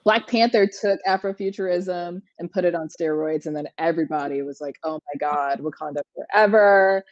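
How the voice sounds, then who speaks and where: A woman moderating a talk, asking long, winding questions in a relaxed conversational way. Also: fairly low pitch, moderate pace, no room sound, lo-fi sound. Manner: long rambling questions with light laughs.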